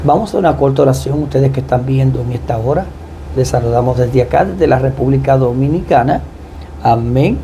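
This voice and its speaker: A man with a Puerto Rican Spanish accent, a pastor speaking as a guest in a devout, deliberate testimony style. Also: medium pitch, dark tone, a little hiss or hum. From Puerto Rico. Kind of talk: personal testimony and prayer.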